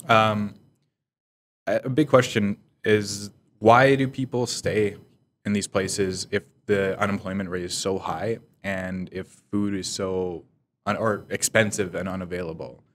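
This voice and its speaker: A man from Manitoba with a very low voice co-hosts the conversation. He asks curious, sometimes playful questions. A faint rumble sits behind him. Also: little pitch movement, unhurried. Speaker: podcast co-host. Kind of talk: casual interview conversation.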